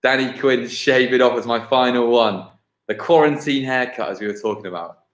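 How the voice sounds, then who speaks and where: A man hosting a live-streamed football quiz, repeating questions and chatting casually with viewers. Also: medium pitch. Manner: repeats each question slowly and clearly, chats to viewers. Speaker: quiz host. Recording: roomy, echoing home livestream sound.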